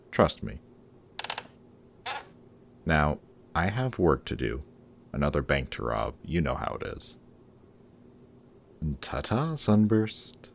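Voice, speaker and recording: A man's voice: extremely low pitch, gravelly, a little hiss or hum, exaggerated voice. A man playing a guarded supervillain in a roleplay audio drama, sardonic and awkward whenever he shows that he cares. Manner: sardonic, hesitant when showing care. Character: gruff, guarded male supervillain, secretly caring, sardonic.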